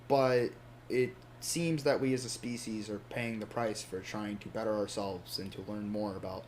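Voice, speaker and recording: A man's voice: mid-range voice, lightly acted. A man playing a resigned, reflective ordinary man facing the apocalypse, musing hesitantly in an understated, informal monologue. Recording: background noise and ambience.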